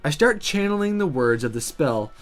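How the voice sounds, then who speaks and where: A let's play host reading a visual novel aloud, with playful asides and animated delivery. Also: mid-range voice, normal speed. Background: music.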